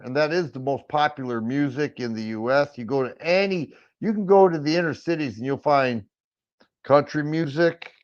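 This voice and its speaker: A middle-aged man from Michigan with a raspy voice, hosting a casual firearms livestream and chatting with viewers, rambling and restarting his sentences often. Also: fairly high pitch. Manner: rambling, with frequent stammered restarts. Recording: dull lo-fi stream audio.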